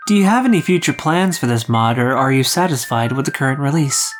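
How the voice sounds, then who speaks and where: A man's voice: mid-range voice, lively intonation, fairly quick. Male podcast interviewer, mid-range voice, scripted hosting read, light music bed.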